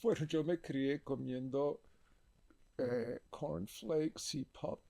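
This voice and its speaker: A man's voice: a little high, very slow, vocal fry, dark tone, dry recording. A man in his seventies from a Puerto Rican family, talking as a guest in a casual interview, rambling with tag questions and frequent self-corrections. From Puerto Rican family, born in Texas. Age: 70s. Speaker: guest artist.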